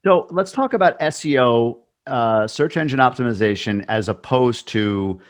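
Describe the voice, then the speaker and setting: A man's voice: mid-range voice, normal speed. Upbeat male podcast host. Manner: upbeat, rambling questions. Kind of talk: interview hosting.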